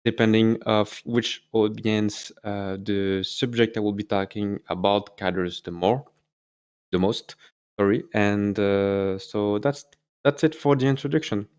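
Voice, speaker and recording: A man's voice: fairly low pitch, steady tone, a little slow, a little mellow, smooth. Hesitant man with a French accent. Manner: hesitant, many fillers and self-corrections. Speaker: YouTube host. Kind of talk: casual solo video talk.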